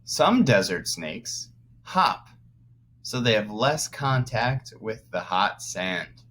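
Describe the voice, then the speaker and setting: A man teaching young children through a read-aloud, pausing to explain words as he goes. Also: on the low side, expressive, fairly bright tone, slight background noise. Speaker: first-grade teacher.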